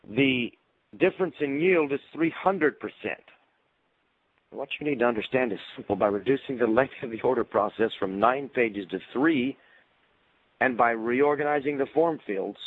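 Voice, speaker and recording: A man's voice: mid-range voice, no room sound. Man losing his voice on a phone line. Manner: measured, explanatory. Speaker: webinar presenter. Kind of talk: marketing research webinar presentation. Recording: tinny phone-line audio.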